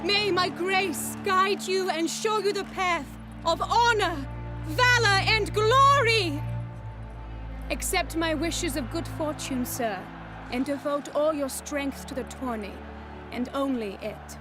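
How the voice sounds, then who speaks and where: Fantasy game noble maiden: very high pitch, slow, formal, gracious, courtly, with music behind. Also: female voice. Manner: formal, measured. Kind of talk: video game voice acting.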